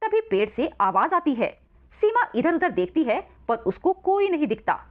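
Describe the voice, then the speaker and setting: High-pitched animated woman storyteller. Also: moderate pace, phone-quality sound. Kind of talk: scripted children's story narration.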